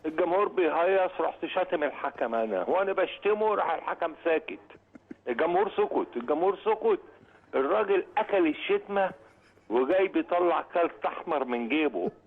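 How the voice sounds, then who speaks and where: A man's voice: on the high side, animated, a little fast. A man with an Egyptian accent telling an anecdote in casual talk, heard on a tinny recording. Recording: tinny phone-like played clip.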